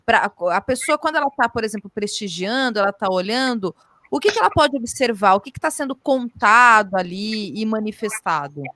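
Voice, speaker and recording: A woman's voice: a little high, expressive, moderate pace, bright, forceful tone, dry recording. A woman interviewer asking probing questions, restarting phrases as she goes.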